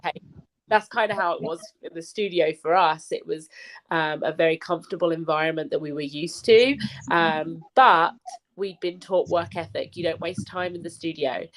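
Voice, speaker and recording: A woman's voice: low voice, normal speed, low-quality recording. A woman singer-songwriter answering interview questions, thoughtful and explaining with everyday examples. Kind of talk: casual interview chat.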